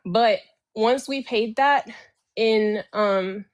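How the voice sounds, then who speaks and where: A Haitian woman, a guest in a political debate, speaking slowly and deliberately with firm emphasis. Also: a little high.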